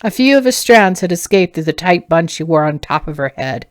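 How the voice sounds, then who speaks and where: A woman reads a fantasy tale aloud in an expressive storytelling style. Her voice sits a little low for a woman. The sound is dry, with very little room in it. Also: brisk, dry recording. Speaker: author reading own novel.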